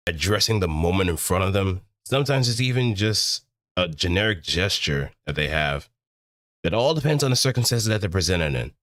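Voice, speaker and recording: A man streaming a casual tutorial, explaining things step by step in a deliberate, halting way with emphatic repetition. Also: low-pitched, a bit bright, no room sound, clean studio sound.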